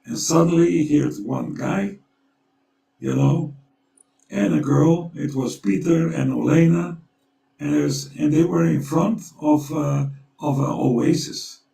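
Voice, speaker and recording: A man's voice: a little high, slow, creaky, mellow, recorded from a distance. A man whose first language is Dutch, speaking English with a Dutch accent, telling a story and urging listeners, often repeating his words. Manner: urgent, storytelling, repeats himself. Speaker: co-host. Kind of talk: earnest conversational appeal. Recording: echoey room, away from the mic.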